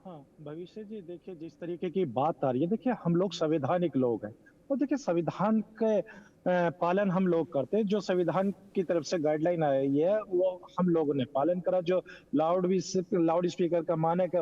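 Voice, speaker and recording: Guy on a news debate panel, high voice, insistent repetitive arguing, muffled lo-fi feed. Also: moderate pace. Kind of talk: argumentative TV debate. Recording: dull lo-fi remote link.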